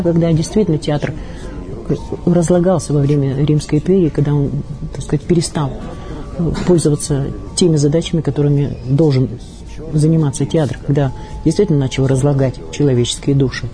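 A woman's voice: deep voice, poor audio. A woman, a theatre director, talking reflectively in an interview, making her points emphatically, phrase by phrase. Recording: music behind the voice.

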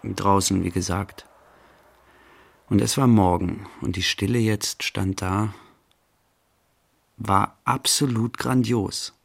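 An actor playing a pensive, serene man in a radio play, speaking a reflective literary monologue in halting fragments. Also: on the low side, fairly even intonation, gravelly, breathy edge, heavily acted. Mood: musing, serene. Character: pensive man musing inwardly, mildly serene. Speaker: actor, narrator.

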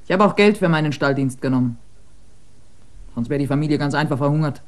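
An actor in a German radio drama playing a devoted member of a poor village family, earnestly explaining. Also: male voice, a little high, quick pace, lightly acted.

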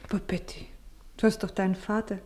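An actress playing a tired, gentle, fearful wife in a 1950s German radio play, motherly and often hushed, with a breathy voice. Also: medium pitch, flat delivery, a little fast, in a whisper, close to an everyday voice.

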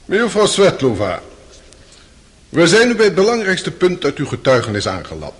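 High-voiced formal prosecutor. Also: male voice, huge pitch swings, normal speed. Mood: formal, probing. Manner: formal, precise, pointed. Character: formal, probing courtroom prosecutor questioning a witness. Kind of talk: radio drama acting.

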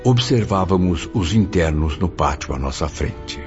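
A compassionate, troubled male spirit in a radio drama, speaking very low with wide dramatic swings. His delivery is emotional and grave. The sound is dull, with music underneath. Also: lively intonation, unhurried. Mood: somber, observing. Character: male suicide spirit recounting and observing, compassionate, horrified.

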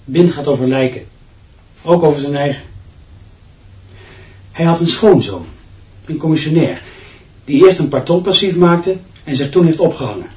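A man's voice: fairly high pitch, moderate pace, long pauses, darkish tone, close to an everyday voice. An actor playing a cynical, gossipy male teacher in a radio play, talking insistently and emphatically about school matters. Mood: confiding, knowing. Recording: roomy, distant, slightly dull sound.

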